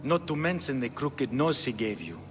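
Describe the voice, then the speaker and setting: Measured Spartan king played by a man in echoing hall. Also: mid-range voice, big pitch swings, natural voice. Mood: dry. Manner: formal, deliberate. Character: measured, shrewd Spartan king, coolly authoritative. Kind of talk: video game cutscene acting. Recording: reverberant stone hall, music underneath.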